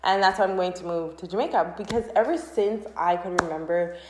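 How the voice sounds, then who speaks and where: A young woman from Canada in her twenties chatting casually to camera as a vlogger, bubbly and expressive. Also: lowish voice, brisk, noisy background. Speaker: vlogger and channel host. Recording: roomy, echoing room sound.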